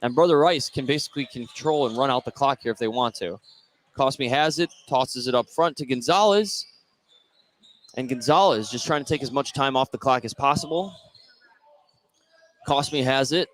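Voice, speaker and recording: A man's voice: medium pitch, moderate pace, fairly bright tone. An American man doing live radio play-by-play commentary of a basketball game as it unfolds. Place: indoor basketball gym during a game.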